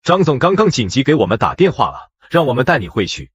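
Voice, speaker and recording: A man's voice: mid-range voice, very animated, quick pace, husky, no room sound. A man playing a contemptuous, thuggish henchman in an audio drama, on a dull recording. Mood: threatening.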